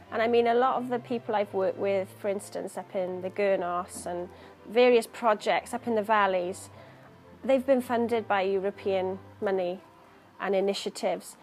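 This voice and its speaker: A woman poet from Wales, talking about her work in an earnest, thoughtful interview, searching for words as she goes. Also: mid-range voice. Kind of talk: reflective interview talk.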